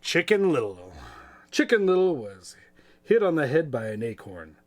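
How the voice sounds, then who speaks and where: A man reading children's stories aloud in a playful, folksy, expressive way, with casual asides. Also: on the high side, normal speed. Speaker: storytime reader.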